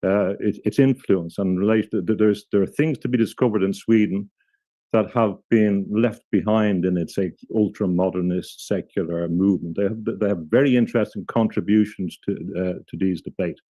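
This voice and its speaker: A man giving thoughtful, halting explanations as an interview guest, often restarting his sentences. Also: fairly low pitch, mellow, no echo.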